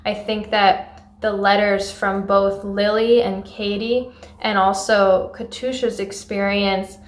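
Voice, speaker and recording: A woman giving articulate, analytical film feedback as an audience member, in measured and formally phrased sentences. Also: mid-range voice, flat delivery. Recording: roomy echo.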